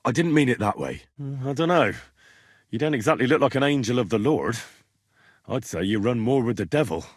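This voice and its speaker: A man's voice: mid-range voice, expressive, fairly quick, no echo, studio quality, close to an everyday voice. A man voicing a young medieval man-at-arms in a video game, earnest, cheeky and plain-spoken, talking in a direct, inquisitive way. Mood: curious, wry. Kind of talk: video game dialogue acting.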